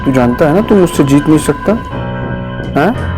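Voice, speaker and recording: A man's voice: a little high, expressive, close to an everyday voice, low-quality recording. A man playing an arrogant, threatening killer who taunts his victims in a TV crime drama. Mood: menacing, taunting. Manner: taunting, threatening, drawn-out questioning. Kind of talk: Indian TV crime drama acting. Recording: dramatic music behind the voice.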